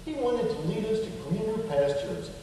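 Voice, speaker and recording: An echoey hall carries the mellow, high voice of an earnest puppet fellow played by a man. He is patient and kindly, explaining like a gentle teacher in a lively, swooping children's show delivery. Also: moderate pace. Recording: echoey hall from far off, faint noise.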